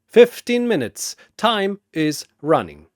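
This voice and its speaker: A man voicing a jovial, wise and sly old brewmaster warrior who talks in measured, ceremonious riddles, as in a fantasy story. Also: high-pitched, sing-song, darkish tone, dry recording, clean studio sound, voice pushed up, exaggerated voice. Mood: sly, challenging.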